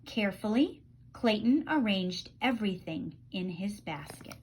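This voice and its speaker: A woman reading a children's storybook aloud in an expressive storytelling style, letting phrase endings drop softly. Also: mid-range voice, a little slow, noisy background.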